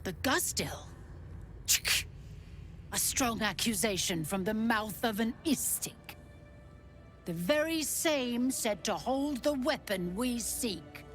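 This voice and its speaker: An actress playing a haughty, menacing alien warrior commander in a fantasy video game, speaking in a clipped, formal, commanding manner. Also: female voice, on the high side, lively intonation, slow pace, soft background music. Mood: cold suspicion.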